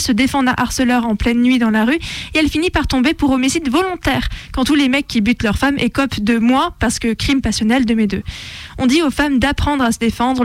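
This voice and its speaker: A high-voiced woman presents news commentary on the radio, recorded close and dry. Her wording is colloquial and openly opinionated. She comes across as indignant and sardonic. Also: dry recording. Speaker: radio show host.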